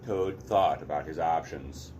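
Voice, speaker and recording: Male read-aloud host, deep and unhurried, expressive story delivery over a faint steady hiss.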